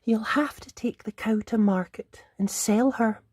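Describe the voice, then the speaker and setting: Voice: medium pitch, measured pace, a little mellow, dry recording, studio quality, voice pushed up, close to an everyday voice. A storyteller voicing a poor, distressed mother who is given to scolding, in a storybook tale. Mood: sad.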